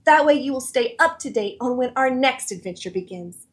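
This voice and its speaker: A woman hosting a children's read-aloud show, addressing young viewers in a friendly, animated, encouraging way. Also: high voice, normal speed. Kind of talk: scripted presenting to children.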